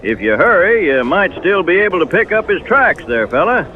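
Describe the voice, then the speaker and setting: An actor playing a teasing, boastful frontier saloon regular in a radio drama, talking in a folksy manner. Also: male voice, high voice, very animated, normal speed, narrow, tinny audio.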